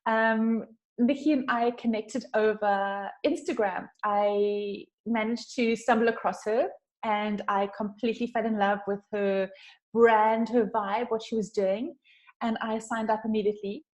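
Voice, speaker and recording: A South African woman hosts a casual interview with a fairly high voice. She talks in a chatty, effusive way and puts emphasis on her points. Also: steady tone, unhurried, darkish tone. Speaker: interview host, branding coach.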